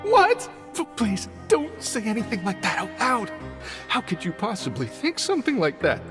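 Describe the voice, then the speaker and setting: Anxious young male worker, game NPC: high-set voice, timid, halting stammer. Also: huge pitch swings, music in the background. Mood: flustered. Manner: nervous, stammering, hesitant. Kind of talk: video game voice acting.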